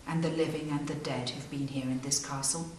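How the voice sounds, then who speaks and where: A woman's voice: sits low, fairly even intonation, fairly quick, darkish tone, far from the mic, slight background noise. A middle-aged woman guiding visitors around a castle hall, speaking earnestly and emphatically to the camera. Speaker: castle guide. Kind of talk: guided tour talk to camera. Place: castle great hall, indoors.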